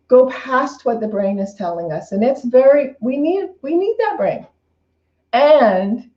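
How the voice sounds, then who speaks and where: A woman with a soft-edged, mellow voice speaks as a minister in a roomy, slightly dull recording. Her talk is an informal spiritual sermon, reflective and conversational. She circles back over phrases and rewords them as she goes. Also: medium pitch.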